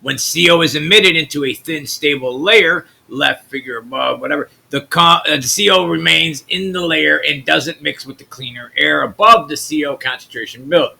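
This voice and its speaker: A man from the US Midwest with a naturally deep voice, hosting a channel and talking loosely through his screen, trailing off into mutters. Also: moderate pace, gravelly, hardly any pauses, bright, forceful tone. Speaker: channel host. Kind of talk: rambling screen-share commentary.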